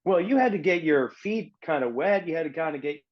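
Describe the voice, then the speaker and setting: A man's voice: a little high, animated, fairly quick, lo-fi sound. Male podcast host from New England, eager fan, conversational interviewer. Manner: enthusiastic, chatty.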